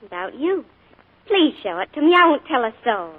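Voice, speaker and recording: An eager, curious young niece. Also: female voice, high-pitched, big pitch swings, moderate pace. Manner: eager and insistent. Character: earnest, curious young woman, the uncle's niece. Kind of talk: 1940s radio acting. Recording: tinny old radio broadcast.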